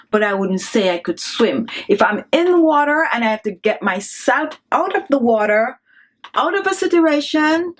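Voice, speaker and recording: High-voiced Jamaican woman vlogging. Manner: chatty and animated, laughs often. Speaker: beauty vlogger. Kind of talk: casual vlog chat.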